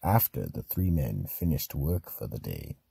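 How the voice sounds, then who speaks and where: Male mystery-theatre narrator: very low, husky and creaky, slow, ominous scripted storytelling. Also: very animated, dark tone, no room sound, clean studio sound.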